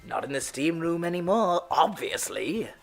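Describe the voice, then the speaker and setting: A woman playing a sarcastic, sinister male clown villain in an audio drama, with a creaky voice and mocking, drawn-out delivery that swings from murmurs to shouts. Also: lowish voice, very animated, over music. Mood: cold, gloating.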